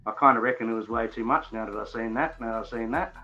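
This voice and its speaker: Aussie bloke, bright forceful voice on a dull mic, chatty and laughing easily. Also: male voice, mid-range voice, quick pace, soft background music. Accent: Australian. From Australia. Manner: laughs often, broad slangy delivery. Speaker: debate guest. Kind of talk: casual live-stream debate. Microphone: desktop microphone.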